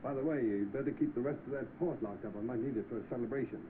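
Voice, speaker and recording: An actor playing an understanding gentleman employer in an old film, brisk and reassuring. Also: medium pitch, close to an everyday voice. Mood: confiding. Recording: tinny, noisy old film soundtrack.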